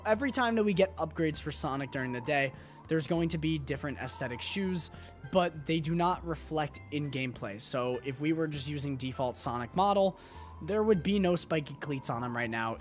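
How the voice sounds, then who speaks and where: A man doing live gameplay commentary while he is losing his voice, talking upbeat and rambling with lots of fillers and restarts. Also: fairly high pitch. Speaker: game streamer. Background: loud game music.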